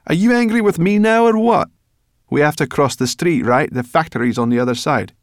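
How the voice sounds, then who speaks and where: A man's voice: on the high side, a little fast, dry recording, studio quality, voice pushed up, close to an everyday voice. A man voicing a cross, grumbling female brownie creature in a storybook reading. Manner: grumbling, scolding.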